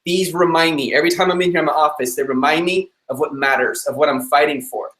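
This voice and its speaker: Man, enthusiastic live-stream host, slightly higher voice, roomy echo. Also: fast, a bit bright. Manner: eager, chatty, laughs easily. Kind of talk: upbeat live-stream interview. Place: his own office room.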